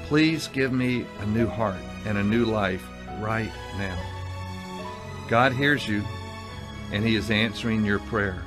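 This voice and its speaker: A man narrating a gospel video in a slow, earnest, scripted way. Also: fairly low pitch. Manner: slow, earnest, measured delivery. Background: background music.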